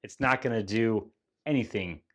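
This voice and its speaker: A man's voice: on the low side, moderate pace, fairly bright tone. A man narrating a headphone unboxing and demo in an upbeat, promotional manner. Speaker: product reviewer.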